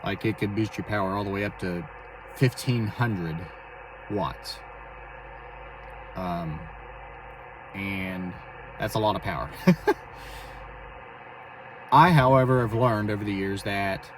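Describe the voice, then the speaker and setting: A man talking casually and haltingly as he explains his amateur radio hobby in a vlog from inside a vehicle. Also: lowish voice. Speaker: amateur radio operator and video host. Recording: distant mic, clear vehicle and radio noise.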